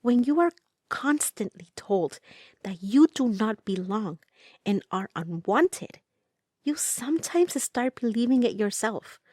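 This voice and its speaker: A woman's voice: mid-range voice, a little mellow, husky, no room sound. A woman from the United States narrating a documentary about belonging, in an earnest, reflective way.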